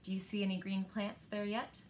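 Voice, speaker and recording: A woman in her thirties reading a picture book aloud to children in a gentle, deliberate manner, pausing to ask them questions. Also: medium pitch, little pitch movement, slight background noise. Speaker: library storyteller. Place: indoors, small living room by windows.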